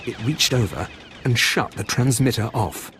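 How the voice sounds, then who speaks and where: A man's voice: mid-range voice, normal speed, bright, forceful tone, a little hiss or hum. An actor playing an earnest male science professor in a video game, explaining things in an academic manner. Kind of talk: video game cutscene acting.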